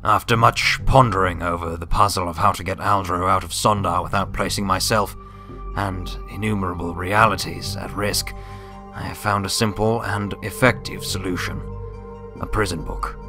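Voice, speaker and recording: A man voicing a grave, scholarly old male wizard pony reading out his journal in a formal, deliberate, subdued manner. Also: sits low, steady tone, music in the background. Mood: deliberate explaining.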